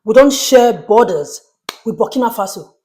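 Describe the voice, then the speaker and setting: A woman's voice: on the high side, lively intonation, moderate pace, mellow, a little hiss or hum. A woman with a Nigerian accent hosting an opinion commentary, emphatic and indignant, full of rhetorical questions. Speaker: commentary show host.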